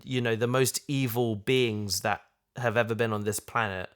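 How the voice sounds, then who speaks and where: A man chatting casually and thoughtfully, feeling his way through ideas in halting phrases. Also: mid-range voice, steady tone, a bit bright, clean tone. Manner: halting, thoughtful, repeats himself. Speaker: podcast host, audio drama voice actor. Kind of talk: casual chat, discussion.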